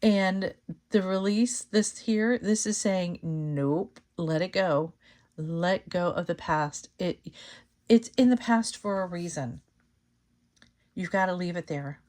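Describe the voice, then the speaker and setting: A woman hosting her channel, giving a casual tarot reading to camera, slightly hoarse from losing her voice, thinking aloud with many fillers and restarts. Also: fairly low pitch, slow pace, a little mellow. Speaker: tarot reader and channel host.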